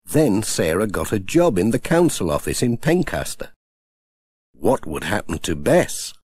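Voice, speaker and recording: A man reading a children's storybook aloud with gentle, expressive storytelling. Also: lowish voice, a little slow, husky. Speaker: audiobook narrator.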